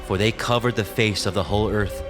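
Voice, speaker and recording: A man narrating scripture from a script in a measured, formal manner. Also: fairly low pitch, steady tone, over music.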